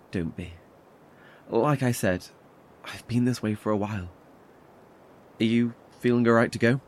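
An actor playing a weary, anxious survivor in a horror audio drama, speaking haltingly with sighs and nervous chuckles. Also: male voice, mid-range voice, slight background noise, natural voice. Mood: subdued, confessional. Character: weary, anxious male survivor, wry and haunted.

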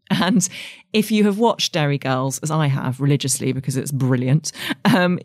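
A woman's voice: low-pitched, darkish tone, dry recording, studio quality. A woman in her forties with an English accent, a writer speaking candidly and thoughtfully as an interview guest. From England. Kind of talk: reflective interview conversation.

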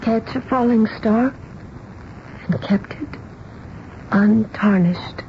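A woman's voice: fairly high pitch, slow. An actress playing a wistful, dreamy woman in a 1970s radio drama. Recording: dull, slightly noisy 1970s radio recording.

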